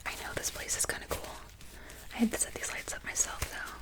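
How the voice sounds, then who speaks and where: A woman speaking in a very soft, breathy whisper, gently and soothingly reassuring as in an ASMR personal-attention roleplay. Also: fast talker, rough recording. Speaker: ASMR creator playing a barber.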